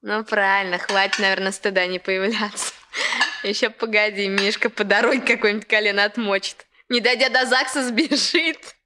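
A woman's voice: medium pitch, fairly even intonation, moderate pace, natural voice. An actress playing a brash, gossipy young village woman in a Soviet radio play, scoffing and exclaiming in colloquial speech. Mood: mocking. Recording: old radio recording with faint noise.

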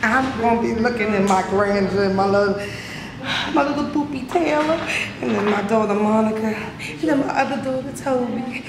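A woman's voice: on the high side, moderate pace. A middle-aged woman giving a chatty promo as an actress in a large room. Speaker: stage actress. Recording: noisy echoey room.

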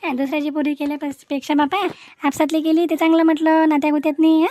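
Woman acting a high-voiced, chatty village auntie. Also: little pitch movement, quick pace, mellow, natural voice. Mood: persuasive, boastful. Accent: rural Vidarbha Marathi. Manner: talkative, folksy, repeats herself. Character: chatty, boastful older village woman arranging a family match. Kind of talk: Marathi cartoon comedy acting.

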